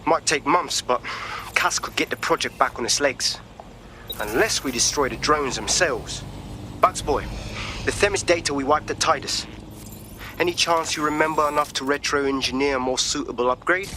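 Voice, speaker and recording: A slangy hacker. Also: male voice, on the high side, normal speed, bright, forceful tone, a little hiss or hum. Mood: blunt. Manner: blunt slangy talk. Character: streetwise male London hacker leader.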